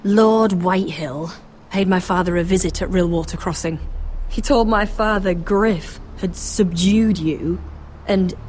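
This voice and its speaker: A woman's voice: fairly low pitch, measured pace, darkish tone, a little hiss or hum, lightly acted. An actress playing a proud young noblewoman in a fantasy video game, speaking with defiant, pleading intensity. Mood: grave, indignant. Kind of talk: video game dramatic acting.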